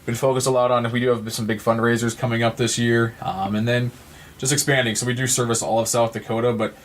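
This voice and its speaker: A man's voice: mid-range voice, flat delivery, fast, slight background noise. An American man giving conversational answers as an interview guest on a podcast. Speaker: podcast guest, nonprofit founder.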